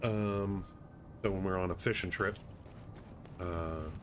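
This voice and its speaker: A man's voice: low voice, fairly even intonation, takes it slowly. A man chatting casually and in a rambling, easygoing way while live streaming a video game. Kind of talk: casual chat while gaming. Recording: clear background noise and game ambience.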